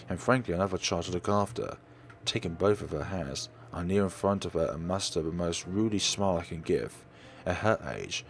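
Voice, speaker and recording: A man's voice: low-pitched, slight background noise. A man hosting a let's play, reading visual novel narration aloud and sometimes stumbling and correcting himself. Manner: stumbles over read lines and corrects himself.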